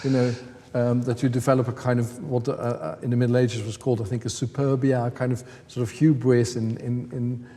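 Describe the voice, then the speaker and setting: A male interviewer with a warm, dark tone poses long, searching questions, pausing often to find his words. He speaks at a middling pitch. His voice carries the long reverb of a hall. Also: level tone.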